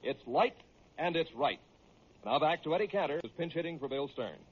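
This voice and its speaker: An American man announcing and pitching a sponsor in old-time radio style. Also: expressive, moderate pace, breathy edge. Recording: dull old radio broadcast recording.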